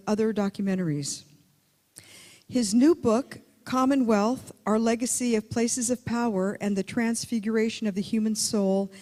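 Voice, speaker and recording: A woman hosting a conference, reading out a measured, admiring introduction of the next speaker. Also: fairly high pitch, warm tone. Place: conference hall. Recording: long hall reverb.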